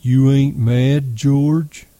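A man voicing a simple, fearful giant farmhand who talks slowly and plaintively. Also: mid-range voice, flat delivery, mellow, dry recording, lightly acted. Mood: timid. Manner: slow, plaintive, pleading.

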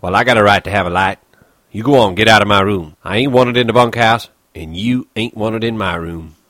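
Man doing a grumpy lonely ranch guy, gruff rough dialect, lowish voice, dry. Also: fairly quick, no room sound, natural voice. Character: bitter, lonely ranch stable hand, gruff older man.

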